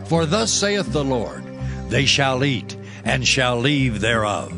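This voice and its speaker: A man's voice: medium pitch, very animated, takes it slowly, over music. An actor playing an authoritative, assured male prophet, speaking solemnly and declaiming in dramatized scripture. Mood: commanding, solemn. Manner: declamatory, solemn, archaic phrasing.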